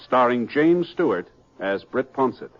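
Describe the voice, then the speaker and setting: A man's voice: medium pitch, expressive, measured pace, lightly acted. A man announcing a 1950s radio drama in a formal scripted style. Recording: muffled old radio, loud brass music.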